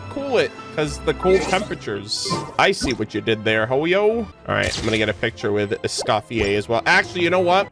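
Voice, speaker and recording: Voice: medium pitch, fairly bright tone. A streamer giving casual, playful live commentary on a video game in slangy, sweary language. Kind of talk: casual livestream commentary with joking. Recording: faint game music behind the voice. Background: video game music.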